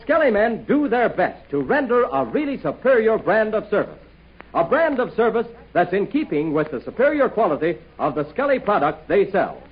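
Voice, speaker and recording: A male 1940s radio announcer with a high, husky voice. His delivery is hearty, persuasive and very animated, reading scripted pitches and narration. The recording is slightly muffled. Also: moderate pace. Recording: muffled 1940 radio broadcast.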